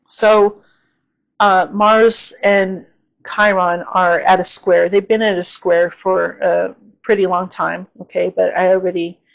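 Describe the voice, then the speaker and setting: A woman astrologer talks through her forecast at a slow, easy pace, her voice sitting low and sounding slightly hoarse and tired. She fills her pauses with "um" and keeps checking in with "okay?" like she's chatting with a friend. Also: level tone, low-quality recording. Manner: unhurried, with frequent "um"s and "okay?" check-ins. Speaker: astrologer and channel host.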